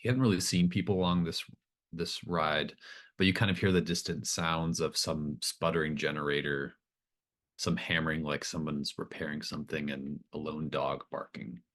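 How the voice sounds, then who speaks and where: A man's voice: extremely low pitch, fairly even intonation, normal speed, a bit bright. A man narrating a tabletop game as game master, improvising scene descriptions in hesitant, trailing phrases.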